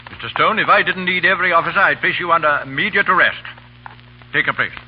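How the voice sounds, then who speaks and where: An actor playing a stern, commanding army colonel with clipped military speech in a 1930s radio drama. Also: male voice, fairly high pitch, fast talker, husky. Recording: dull, hissy 1930s radio recording.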